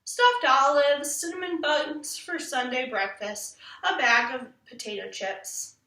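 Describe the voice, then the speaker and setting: A woman reading a children's book aloud in an expressive, animated storytelling manner. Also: high-pitched, slow. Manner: animated, acts out the quoted lines. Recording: roomy echo, distant from the mic.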